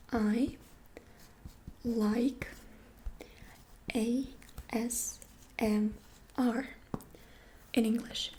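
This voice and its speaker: Softly whispering woman. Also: fairly high pitch, slight background noise. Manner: soft whisper, slow patient explaining. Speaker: Russian language teacher. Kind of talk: whispered ASMR language lesson.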